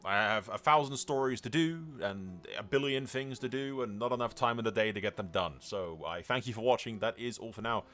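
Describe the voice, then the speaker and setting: A man with a lowish, forceful voice commentates on a video game. He talks casually and bluntly in slangy, sweary bursts, reacting as he goes, with music faintly underneath. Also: fairly quick, few pauses. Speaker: gaming channel host.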